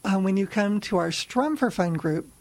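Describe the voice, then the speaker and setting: A woman with a lower-pitched voice chats easily in an interview. She explains things in a relaxed way and tells anecdotes, often laughing between them. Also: normal speed. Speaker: ukulele festival organizer. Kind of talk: casual interview chat.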